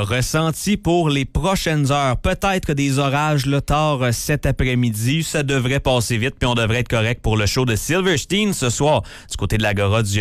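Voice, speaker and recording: Easygoing Quebec French radio co-host. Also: male voice, mid-range voice, clean tone, dry recording, studio quality. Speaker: radio co-host doing weather. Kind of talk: casual radio banter.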